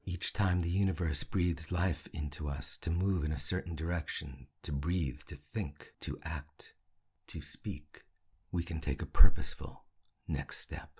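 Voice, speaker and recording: Male meditation guide: very raspy, breathy and creaky, hushed delivery in short, spaced-out phrases. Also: extremely low pitch. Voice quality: gravelly, husky. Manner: hushed, soothing, with long pauses between short phrases. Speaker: meditation teacher.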